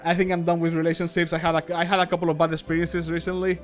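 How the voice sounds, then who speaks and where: A man's voice: fairly high pitch, completely flat delivery, very fast. A man streaming casually as he works, chatting in an informal, jokey, sweary way. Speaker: streamer and amateur voice actor. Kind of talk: casual livestream chat while working. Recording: music in the background. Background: music.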